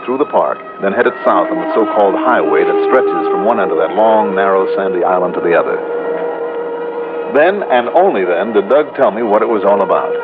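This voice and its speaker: An actor playing an inquisitive, impatient insurance investigator in a 1950s radio detective drama. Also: male voice, lowish voice. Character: inquisitive male insurance investigator, narrating his case, impatient. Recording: narrow-band old radio recording, music behind.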